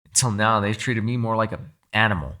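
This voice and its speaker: A captive male yokai played by a man speaks straight to the listener in an ASMR roleplay. His voice is fairly low yet bright and forceful. He is proud, gentlemanly and protective, making his demands in articulate, courteous phrases. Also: a little fast. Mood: firm, dignified. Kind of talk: ASMR audio roleplay, dramatic character acting.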